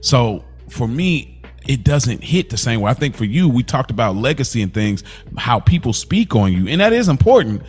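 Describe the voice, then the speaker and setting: A man's voice: mid-range voice, sing-song, moderate pace. An American man co-hosting a casual podcast, talking in an emphatic, encouraging way and often repeating himself for effect. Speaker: podcast co-host. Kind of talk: casual chat. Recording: music playing behind the talk.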